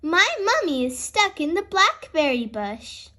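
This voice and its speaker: A woman voicing an excitable, giggly little girl piglet from a storybook, eager and bubbly. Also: sits high, unhurried, heavily acted. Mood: excited.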